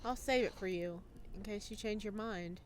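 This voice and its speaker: A woman voicing an awkward, intense, mysterious young man from a visual novel, hesitant and soft-spoken. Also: medium pitch, normal speed, slight background noise, close to an everyday voice. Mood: quiet, wistful. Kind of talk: visual novel character read aloud.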